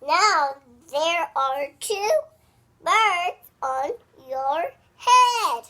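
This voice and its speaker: A child voicing a cheerful, helpful little girl piglet from a picture book, announcing things in drawn-out words. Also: very high voice, animated, sharp tone, full character voice.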